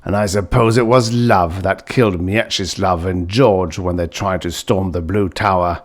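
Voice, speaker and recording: A man's voice: low voice, fairly even intonation, normal speed. A man voicing a cantankerous old grandfather in an audiobook story, scornful and suspicious, blustering and grumbling. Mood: sarcastic.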